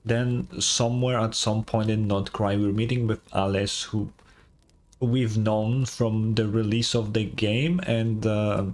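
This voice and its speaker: A man doing casual reaction commentary as a game streamer, thinking aloud haltingly and swearing casually. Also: on the low side, flat delivery, a little mellow.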